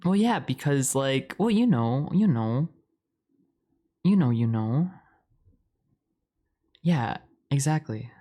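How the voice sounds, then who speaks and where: A man's voice: medium pitch, lively intonation, slow, long pauses, warm tone. Rambling, playful man in boyfriend roleplay.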